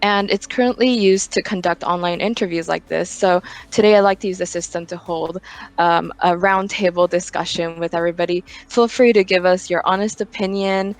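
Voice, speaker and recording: An American interviewer casually hosting an online roundtable, speaking with many hesitant ums. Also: male voice, high-pitched, fairly even intonation, brisk, clean tone. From United States. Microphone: video call.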